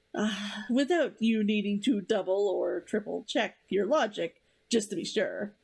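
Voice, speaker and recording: Bubbly giggly woman with a high, lively voice. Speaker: author and read-along host. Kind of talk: casual chat about writing to viewers.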